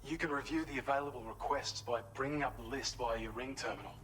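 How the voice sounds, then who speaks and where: An actor playing a calm male facility contact in a video game, speaking in a measured, formal, friendly way. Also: mid-range voice, a little hiss or hum, lightly acted, rough recording. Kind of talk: scripted game dialogue.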